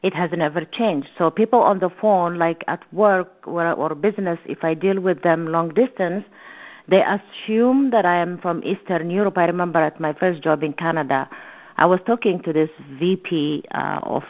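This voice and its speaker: A woman host telling a lively personal story in a casual interview, with many fillers. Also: on the low side, normal speed, lo-fi sound. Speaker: podcast host.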